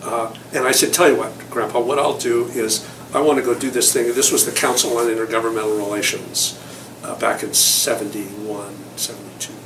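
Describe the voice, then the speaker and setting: An older man from Southern California reflects on his working life in a slow, rambling way, often pausing on fillers. He sits well back from the mic in an echoey room, with steady noise behind him. His voice sits at an ordinary middle pitch. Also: recorded from a distance. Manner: rambling, thoughtful, many fillers. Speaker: award recipient interviewee. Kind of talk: reflective interview recollection.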